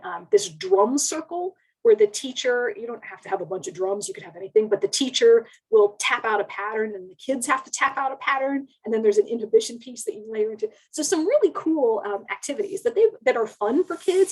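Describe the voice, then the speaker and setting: Higher-voiced woman with a slightly husky sound. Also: lively intonation, brisk, few pauses, a little mellow, poor audio. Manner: explanatory, uses casual wording. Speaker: education researcher and panel speaker. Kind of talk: informal webinar explanation.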